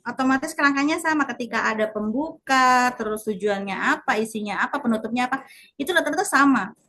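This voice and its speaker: A woman's voice: on the high side, a bit bright. A woman moderating a webinar in a polite, semi-formal but conversational way, checking in with her listeners.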